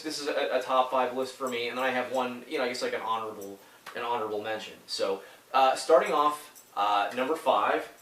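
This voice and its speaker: A man in his thirties chatting casually about his favorite old games, stuffy from a head cold. He rambles, trails off and starts his sentences over, his voice landing at a middle pitch. He sounds a little off mic, in a room with a noticeable echo. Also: fairly bright tone, far from the mic, slight background noise. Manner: rambling, full of fillers and restarts. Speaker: YouTuber reviewing retro games. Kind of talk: casual talk to camera.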